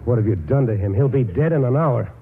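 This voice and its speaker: A man acting an earnest, reasonable doctor in a 1940s radio drama, serious and measured. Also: medium pitch, lively intonation, faint music behind, natural voice. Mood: alarmed, grave. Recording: narrow, muffled vintage radio broadcast.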